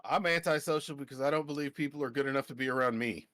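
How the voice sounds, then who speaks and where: A man's voice: medium pitch, fast talker, a bit bright, dry recording, clean studio sound. A man joining a casual stream chat, riffing crude jokes and fake ad slogans. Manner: joking, crude ad-lib humor. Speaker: co-host on stream.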